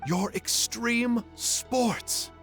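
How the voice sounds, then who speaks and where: A man's voice: extremely high pitch, fairly bright tone, heavily acted. A man voicing a playful, theatrical uncle figure telling dramatic, teasing stories in a video game, with music behind him. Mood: playful, coaxing. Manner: dramatic, teasing, drawn-out storytelling. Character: theatrical, playful uncle-like storyteller, affectionate and self-pitying.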